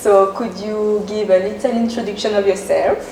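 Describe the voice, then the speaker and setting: A young woman with a French accent hosting a casual interview, speaking slowly and laughing often. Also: medium pitch, steady tone, a little mellow, slight background noise, low-quality recording. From France. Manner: slow and giggly, laughs often. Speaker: host.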